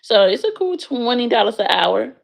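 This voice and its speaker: Young woman streamer, casual and blunt, with a gravelly edge. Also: a little high, sing-song, moderate pace. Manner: blunt, frank, swears freely. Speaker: livestreamer answering chat. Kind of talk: casual livestream chat. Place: her bedroom, in bed.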